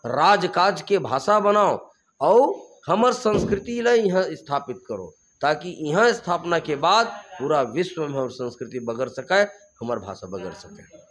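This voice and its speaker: A man with a Chhattisgarhi accent speaks with fervent conviction, his voice sitting a little high for a man. He stacks phrase on phrase in rhetorical chains and pushes up hard on the words he wants to land. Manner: fervent, repetitive rhetorical build-ups, rising emphatically on key points. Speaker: activist leader being interviewed. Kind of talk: impassioned political interview answers.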